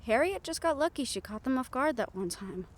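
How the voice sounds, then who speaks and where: A woman playing a gentle, wistful half-siren, half-banshee who confides softly in an intimate roleplay audio, with reflective pauses. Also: high-pitched, expressive, slight background noise. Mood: reassuring.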